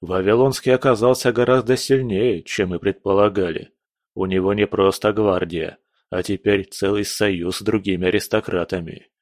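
A man voicing a calm, stern older general who speaks in a grave, measured way. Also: on the low side, no echo, clean studio sound, voice pushed down, natural voice.

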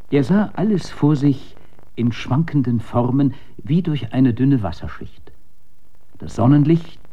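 Male narrator, slightly dull radio recording. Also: mid-range voice. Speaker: narrator reading a story. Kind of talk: scripted literary narration, radio storytelling.

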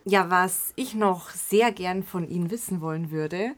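A woman's voice: lowish voice, measured pace, dry recording. A woman hosting a podcast, speaking to her guest and listeners. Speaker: podcast host. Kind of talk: interviewing and announcing. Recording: clearly audible background noise.

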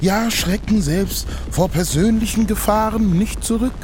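An actor playing an awed, patronizing ethnologist who narrates like a pompous nature documentary in a stage play, with a husky voice and dramatic pauses. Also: male voice, sits high, darkish tone, slight background noise. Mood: grandiose. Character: mock expedition ethnologist, awed, patronizing male wildlife narrator.

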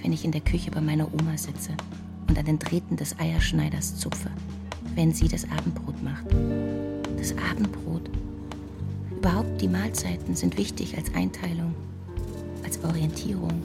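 Monologue role: reflective woman, lowish creaky voice, pensive literary narration. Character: reflective woman narrating her inner memories. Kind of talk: literary monologue in a radio play.

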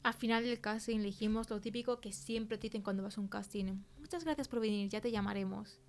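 A woman's voice: medium pitch, a little fast, a little hiss or hum. A young woman with an accent from Spain, telling her experience conversationally as a podcast guest. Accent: Spain Spanish. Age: young adult. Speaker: podcast guest, film producer. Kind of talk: conversational testimony.

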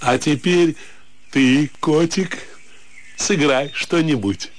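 An actor playing a jovial provincial husband and host in a radio drama, with a drawn-out, playful delivery. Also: male voice, a little high, slight background noise. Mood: smug, playful.

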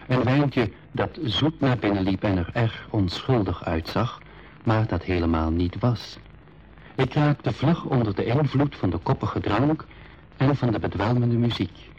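An actor narrating his own adventure in a school radio drama, with a measured storytelling delivery. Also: lowish voice, a little mellow, muffled recording, a little hiss or hum. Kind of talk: scripted first-person radio drama narration.

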